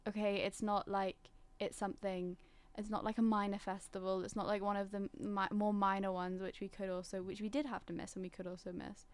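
A woman's voice: medium pitch, flat delivery, brisk, hardly any pauses. A young woman from Singapore with a British accent, talking reflectively and articulately in a casual conversation, often qualifying what she says. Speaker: discussion participant.

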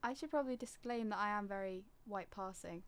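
A woman's voice: mid-range voice, a little fast, clear, resonant tone. A young woman from Singapore with a British accent, talking reflectively and articulately in a casual conversation, often qualifying what she says. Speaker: discussion participant.

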